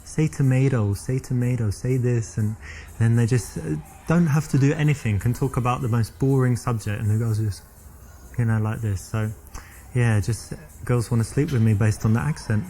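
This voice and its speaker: A man's voice: medium pitch, normal speed, dark tone, close to an everyday voice. An actor in his thirties with a British accent playing a boastful, tactless young man rambling on a date. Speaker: game actor and dating coach. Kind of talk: interactive game scene acting.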